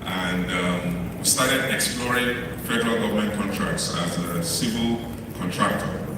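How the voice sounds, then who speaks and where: A middle-aged Nigerian man with a Nigerian English accent giving a conference talk, speaking quickly with many ums and uhs. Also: lowish voice. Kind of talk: conference presentation. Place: large conference hall. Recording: far-off mic, echoey hall, noisy.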